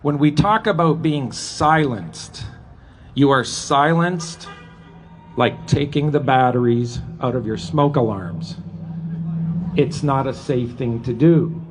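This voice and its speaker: A middle-aged or older man with a Canadian accent gives an earnest, deliberate, emphatic public speech at a live event. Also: medium pitch, darkish tone. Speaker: retired police officer speaking at a rally. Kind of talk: public speech, partly read from a letter. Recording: live event with background noise.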